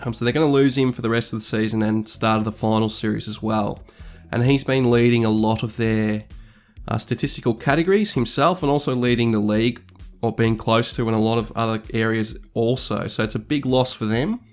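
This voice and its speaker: A man with a stuffy nose from a cold walks through football statistics. His voice sits at a mid pitch, and he speaks in a measured way, pausing to restart his sentences. Faint music plays quietly in the background. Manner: thoughtful, measured, with fillers and restarts. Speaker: sports analyst. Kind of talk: scripted-ish stats commentary over slides.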